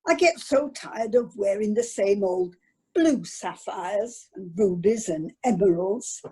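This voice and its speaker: A woman playing a spoilt, wheedling wife with a husky voice in an amateur radio comedy. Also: mid-range voice, lively intonation, takes it slowly, warm tone. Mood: gushing, wheedling. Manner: gushing, pointed, complaining.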